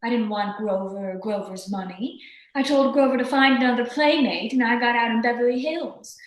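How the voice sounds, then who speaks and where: A woman's voice: fairly high pitch, normal speed, roomy sound, natural voice. A woman playing a cool, defiant femme fatale with curt delivery in an old-time radio detective drama. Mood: cool, composed.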